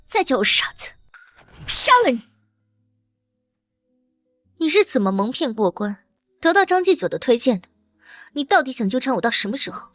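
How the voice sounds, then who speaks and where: Haughty young princess with a high voice played by a woman. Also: big pitch swings, moderate pace, pauses often, a bit bright, dry recording, soft background music, heavily acted. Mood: angry, exasperated. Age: young adult. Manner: haughty, curt. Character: proud, guarded young imperial princess, cold and sharp. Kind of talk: Chinese period comic-drama dubbing.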